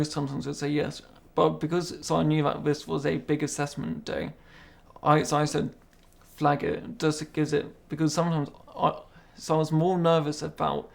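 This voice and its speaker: Young man with a stammer, gravelly voice. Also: a little high. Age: young adult. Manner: stammers, repeats words, speaks slowly and carefully. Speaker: interviewee, apprentice. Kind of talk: interview conversation.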